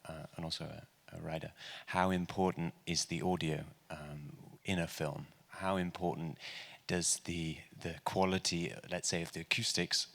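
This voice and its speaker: Man, very deep voice, bright and forceful, loud, slow hesitant question, long hall reverb. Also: fairly even intonation, gravelly. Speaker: audience member asking a question. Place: large lecture hall.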